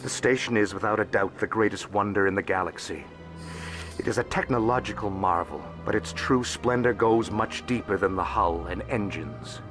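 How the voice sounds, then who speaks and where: A shrewd alien dealer in secrets from a sci-fi game, acted by a man, with a deep voice. He speaks formally and carefully, calm and worldly, with music playing behind him. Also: moderate pace, natural voice. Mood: admiring, polished. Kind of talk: video game dialogue acting.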